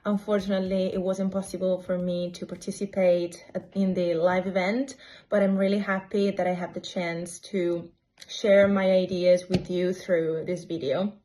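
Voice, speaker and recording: A woman from Italy, an interpreter, giving a polite, measured recorded video message. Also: on the low side, level tone, dark tone, rough recording.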